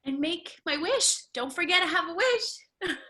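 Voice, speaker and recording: An actress playing a spirited, stubborn, playful young daughter in a dramatic play reading, breezy and theatrical. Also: female voice, extremely high pitch, animated, slow pace, sharp tone, full character voice. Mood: excited.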